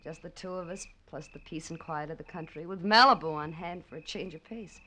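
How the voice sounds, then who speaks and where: A woman playing a bitter, sardonic neglected wife, with sharp wisecracks in theatrical 1940s radio drama style. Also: deep voice, brisk, poor audio.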